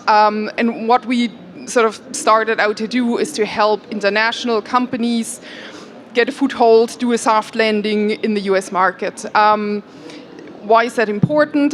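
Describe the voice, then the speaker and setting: A woman's voice: fairly high pitch, a bit bright, a little hiss or hum. A woman from Germany who is an accelerator CEO, speaking conversationally on a conference panel with frequent fillers. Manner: conversational, many "um"s and "like"s. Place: conference hall.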